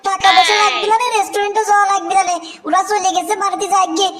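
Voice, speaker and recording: A squeaky demanding girlfriend. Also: level tone, racing, over a noisy crowd. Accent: Bogura dialect of Bangla. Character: young village girlfriend, demanding.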